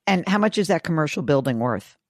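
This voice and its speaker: A woman hosting a call-in money advice show, hoarse and raspy today, asking brisk, direct questions. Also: low voice, lively intonation, no echo, clean studio sound. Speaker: host.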